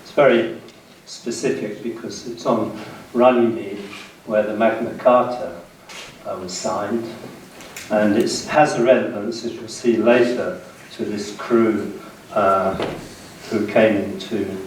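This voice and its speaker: A man in his sixties with a British accent gives a public history lecture in a measured way, pausing between short phrases. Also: fairly low pitch, little pitch movement. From United Kingdom, west of London. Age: 60s. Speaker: guest lecturer. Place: indoor seminar room, speaking to an audience. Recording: very echoey room, distant mic, faint noise.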